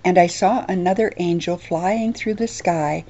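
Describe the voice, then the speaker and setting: Female radio ministry host: low-pitched, mellow, earnest and gentle, dull lo-fi sound. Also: a little slow. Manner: gentle, earnest, softly preaching. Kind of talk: scripted radio devotional.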